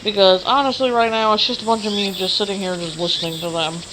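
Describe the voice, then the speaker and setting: A woman's voice: medium pitch, steady tone, fast, fairly bright tone, a little hiss or hum, poor audio. A woman doing a casual let's play, chatting and reading game text aloud. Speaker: gamer streamer.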